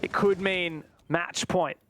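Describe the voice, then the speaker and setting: A man's voice: high voice, fairly even intonation, slow, a bit bright, a little hiss or hum. A man commentating live on an esports match, mixing play-by-play with analysis.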